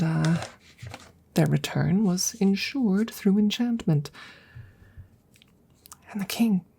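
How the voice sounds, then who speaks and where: An ancient female dragon , acted by a woman, speaks in a very breathy, husky voice that often sinks to a whisper. Her delivery is slow and low, with gravelly creak at the edges. She is courtly and wordy but faintly awkward, hesitating through her formal phrases in an intimate ASMR roleplay. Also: warm tone, full character voice. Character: courtly, awkward centuries-old female dragon in human guise. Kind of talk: soft-spoken ASMR roleplay.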